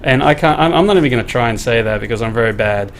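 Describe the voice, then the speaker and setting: Male stream host, middle pitch, casual and filler-heavy, faint music under the voice. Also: rapid-fire. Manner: filler-heavy, reads out viewer comments, steers the talk. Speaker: host of a weekly game show stream. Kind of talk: casual live-stream hosting.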